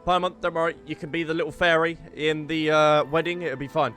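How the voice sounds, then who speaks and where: A man's voice: a little high, fairly even intonation, fairly quick, pushed and bright, soft background music. A man doing casual, jokey game playthrough commentary, teasing and often repeating his phrases. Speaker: gaming streamer.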